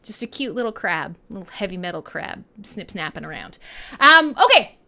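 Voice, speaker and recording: A woman with a Canadian accent hosting a casual, irreverent live radio show, talking in an excitable, playful, rambling way with plenty of swearing. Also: medium pitch, normal speed, gravelly. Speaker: radio host and metal DJ. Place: her own home-style studio.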